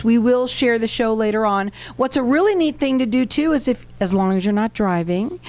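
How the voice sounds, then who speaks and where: A woman's voice: fairly high pitch, a little mellow. A woman hosting a radio interview in a studio, chatty and enthusiastic, running on in casual talk. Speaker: radio talk show host.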